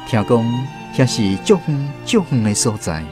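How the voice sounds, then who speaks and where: A man's voice: mid-range voice, expressive, a little slow, over music. A man with a Taiwanese accent narrating a literary radio drama.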